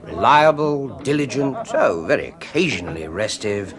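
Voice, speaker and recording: An actor playing a sardonic, bitter British research scientist of about forty in a radio drama, speaking in a clipped, brooding way. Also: male voice, mid-range voice, very animated, normal speed, crowd noise, close to an everyday voice. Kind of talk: 1980s BBC radio drama acting.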